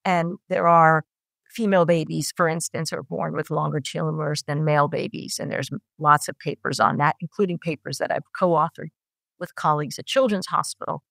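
Middle-aged woman scientist, halting delivery, lower voice, dry recording. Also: fairly even intonation, vocal fry, fairly bright tone, dry recording. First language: Italian. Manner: hesitant, many fillers and restarts. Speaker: scientist guest. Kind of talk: explanatory interview answers.

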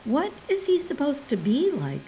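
A polite, curious woman scientist, as a reader puts her on. Her questions lift over a lively range. She sounds kind and interested. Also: sits high, moderate pace, a little hiss or hum, voice pushed up, heavily acted, rough recording. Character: kindly female geologist, curious.

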